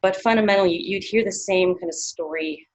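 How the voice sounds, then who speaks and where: A woman's voice: on the low side, a little fast. A Canadian woman psychologist in her thirties, speaking as an interview guest in a thoughtful way, with many fillers and restarts.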